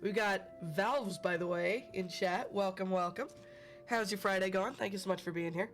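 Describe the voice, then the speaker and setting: A man's voice: high-pitched, normal speed, fairly bright tone, soft background music. A man with a cold and a stuffy voice, hosting a casual live stream and chatting with viewers by name. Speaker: livestream host.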